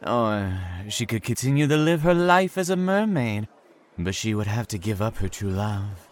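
Man acting a mysterious, courteous storytelling stranger, lively pitch. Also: normal speed. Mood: knowing, storytelling. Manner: formal, teasing, storyteller phrasing. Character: mysterious, courteous, insistent man new in town. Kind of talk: audio drama acting.

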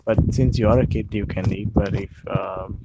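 A young man from Sri Lanka chatting casually, relaxed and hesitant with many fillers and laughs. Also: lowish voice, moderate pace. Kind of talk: casual chat. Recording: dull lo-fi online call audio with faint noise.

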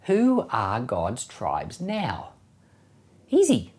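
A man speaks as a pastor explaining scripture in an informal, conversational style. His mid-range voice moves up and down a great deal, giving the teaching an enthusiastic, animated feel. He likes to put rhetorical questions to the listener. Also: mid-range voice, very slow. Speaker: pastor teaching a Bible podcast. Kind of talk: informal Bible teaching commentary.

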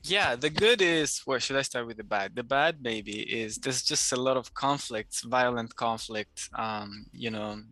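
A man speaks with a bright, forward tone in a dry, close recording. He answers at an easy, thoughtful pace, often pausing with soft ums as he gathers his words. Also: medium pitch, dry recording. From Democratic Republic of Congo. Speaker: guest. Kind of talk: casual interview answers.

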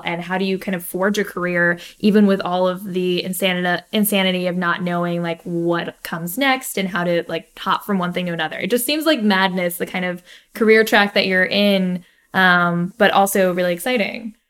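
A woman's voice: lowish voice, normal speed, hardly any pauses, a bit bright. A woman hosting a podcast, asking long, loosely worded questions in a casual chat. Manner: curious, rambling questions with many "like", laughs along. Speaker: podcast host.

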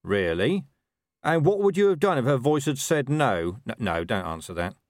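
Man playing a weary watch boss, dry, sardonic questioning, lively delivery. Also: mid-range voice, normal speed, no echo, natural voice. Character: weary, dry-witted male watch commander.

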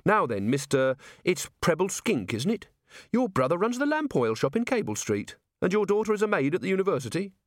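Guy doing polite upright young captain for an audiobook, formal n measured, lively melody, dry sound. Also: high-pitched, dry recording, voice pushed up. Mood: polite, brisk.

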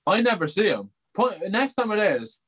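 Man with a higher voice. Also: big pitch swings, normal speed, a bit bright, a little hiss or hum. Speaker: podcast co-host. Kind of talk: casual chat.

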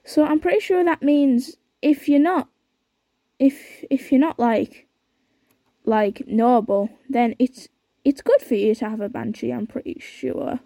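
A woman's voice: high-pitched, measured pace, warm tone, dry recording, studio quality. A young woman hosting a podcast, chatting casually and reading aloud with lots of fillers and unsure asides. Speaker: podcast host.

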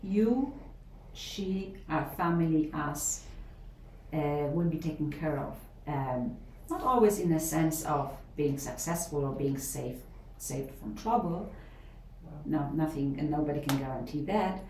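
A woman's voice: low voice, animated, unhurried, darkish tone, slight background noise. A middle-aged woman from Poland with a Polish accent, a professor answering interview questions thoughtfully, with many fillers and restarts. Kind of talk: interview conversation. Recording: roomy, a bit distant from the mic, faint ambience.

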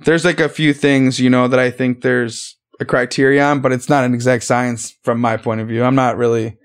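A man hosting a podcast, talking in a relaxed, rambling, easygoing way and swearing casually. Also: medium pitch, moderate pace. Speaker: podcast host. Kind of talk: casual chat.

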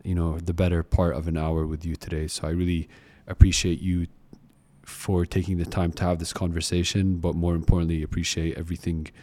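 Man, podcast host, Palestinian American, very deep voice, mellow tone, halting delivery. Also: little pitch movement. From Palestinian American, from Akka. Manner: halting, warm and appreciative. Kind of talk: podcast interview.

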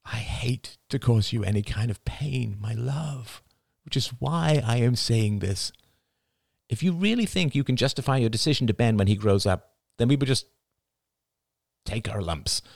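A man's voice: mid-range voice, lively intonation, moderate pace, gravelly, darkish tone, no room sound, clean studio sound, pitched above the performer's own voice. Audiobook role: intense young family man, insistent, wide-ranging delivery male narrator acting. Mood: grave, pressing. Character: Ian, intense, insistent husband and father. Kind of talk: dramatic audiobook dialogue.

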